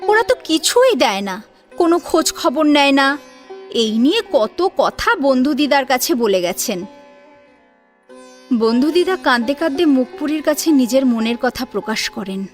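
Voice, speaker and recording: চড়া গলার গল্পপাঠিকা, পেছনে বাজনা. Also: female voice, sits high, big pitch swings, moderate pace. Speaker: narrator. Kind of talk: expressive scripted story narration. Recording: music under the voice.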